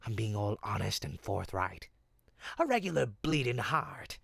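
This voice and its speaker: A performer voicing a sly, smooth-talking goblin potion peddler in an audio roleplay, with folksy, teasing salesman patter. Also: medium pitch, very animated, measured pace, no room sound, full character voice. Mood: teasing, wheedling. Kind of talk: audio roleplay, in-character monologue to listener.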